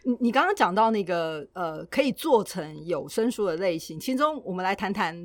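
A woman's voice: mid-range voice, animated, normal speed, no room sound, clean studio sound. A woman with a Taiwanese Mandarin accent chatting casually and expressively as a podcast host.

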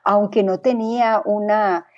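A woman's voice: mid-range voice, fairly even intonation, a little slow, a little mellow. A woman from Colombia and Costa Rica, a podcast guest telling her story conversationally over a remote call. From born in Colombia, grew up there and in Costa Rica. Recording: dull lo-fi remote connection.

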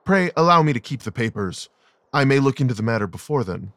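A man playing a brilliant, coolly rational gentleman detective in an audio drama, speaking in a precise, clipped, analytical way. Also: medium pitch, sing-song, fast, dry recording. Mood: keen, decisive.

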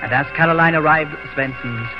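A man's voice: fairly high pitch, fast talker. A man narrating a scripted 1940s radio drama as its host. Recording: muffled old radio, loud music behind.

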